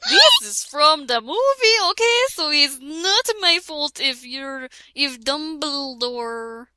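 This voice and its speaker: A woman's voice: sits high, sing-song, slow pace, pushed and bright, no room sound. A woman reading a parody fan fiction aloud with a friend, giggling and breaking into laughter and sarcastic asides. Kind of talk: comedic dramatic reading with a friend.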